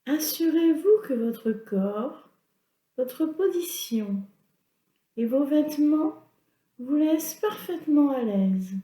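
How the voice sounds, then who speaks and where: A woman leading a guided yoga nidra relaxation as an instructor, in a calm, soothing, instructional manner. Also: high voice, slow pace. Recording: roomy, echoing sound.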